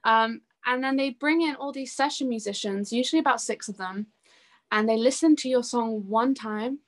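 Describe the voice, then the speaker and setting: A woman's voice: fairly high pitch, moderate pace. A young woman chatting casually and enthusiastically as a musician being interviewed. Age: young adult.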